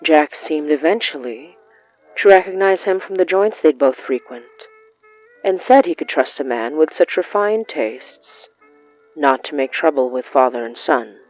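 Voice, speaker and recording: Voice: mid-range voice, expressive, a little slow. A narrator reading a scripted short story in a literary storytelling style. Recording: muffled lo-fi sound with music. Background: music.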